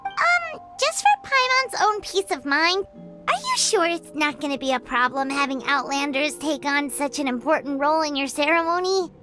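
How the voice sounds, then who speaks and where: Super high squeaky little fairy girl from a game, chatty n cutesy, bouncy pitch, music loud underneath. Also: lively intonation, moderate pace. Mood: doubtful. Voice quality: squeaky, childlike. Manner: cutesy, speaks of self in third person. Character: Paimon, small childlike floating fairy companion girl, chirpy and chatty. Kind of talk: video game character dialogue.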